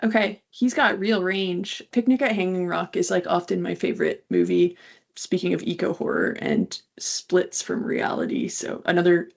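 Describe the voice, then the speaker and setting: A woman's voice: on the low side, fairly even intonation, moderate pace, gravelly. A woman hosting an interview in a casual, chatty style, on slightly dull, lo-fi audio. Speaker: interview host.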